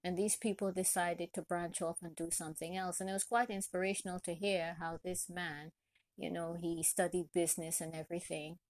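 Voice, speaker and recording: A woman from Trinidad and Tobago hosts a solo podcast, sharing personal experience and encouragement with deliberate, repeated phrasing. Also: fairly low pitch, little pitch movement, no room sound. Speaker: podcast host, chef and caterer. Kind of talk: solo podcast talk, motivational personal story.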